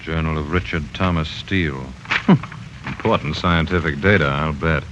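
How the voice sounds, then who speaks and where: An actor playing a curt, dismissive doctor in a 1940s radio drama. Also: male voice, extremely low pitch, moderate pace. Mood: sardonic. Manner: curt, sardonic. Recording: noisy muffled 1940s radio.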